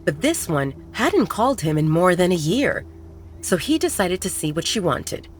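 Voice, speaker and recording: Expressive lower-voiced woman narrator. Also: normal speed, slight background noise. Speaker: audiobook narrator. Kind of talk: dramatic scripted romance narration. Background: faint background music.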